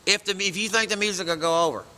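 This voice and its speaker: A man's voice: high voice, fast, pushed and bright, roomy sound. A man, a pianist and singer, talking in a folksy, joking way on a reality show. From Georgia, US.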